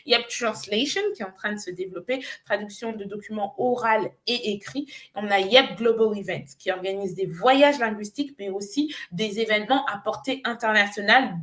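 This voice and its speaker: A woman from Nigeria, a business coach, giving an earnest, persuasive pitch with frequent hesitations. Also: fairly bright tone. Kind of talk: promotional pitch on a live show.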